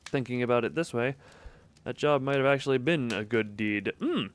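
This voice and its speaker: Man, streamer, reading game text aloud, smooth clear voice, mid pitch, casual asides. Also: moderate pace. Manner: reads narration evenly, breaks off for chuckling asides. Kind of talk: reading a visual novel aloud with commentary.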